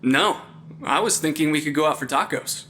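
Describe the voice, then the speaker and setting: Young male actor as a jittery, wry supernatural sleuth; bright tone, quippy and faintly pompous, comedy audio drama. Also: a little high, normal speed, a little hiss or hum. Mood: playful, smug. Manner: dry, quippy, slightly pompous. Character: wry, nerdy, jittery man investigating the supernatural. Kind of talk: audio drama acting, naturalistic comedic banter.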